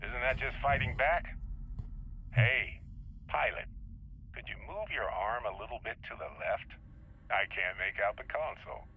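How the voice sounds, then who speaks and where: A man's voice: mid-range voice, normal speed, pauses often, narrow, tinny audio, natural voice. An actor playing a laid-back, wisecracking male adventurer held hostage in a video game. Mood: joking.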